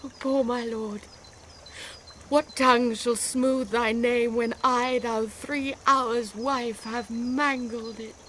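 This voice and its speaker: High-voiced, distraught young British bride. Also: female voice, steady tone, slow pace, fairly bright tone, a little hiss or hum. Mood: anguished, tender. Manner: theatrical, precisely phrased verse delivery. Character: passionate, distraught young bride, highborn girl. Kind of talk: classical Shakespearean radio drama acting.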